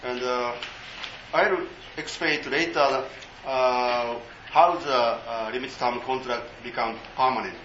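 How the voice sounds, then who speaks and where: A man giving an informal seminar talk in English, halting and full of fillers. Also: mid-range voice, steady tone, a bit bright, echoey room, lo-fi sound, a little hiss or hum. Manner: halting, many fillers, non-native English.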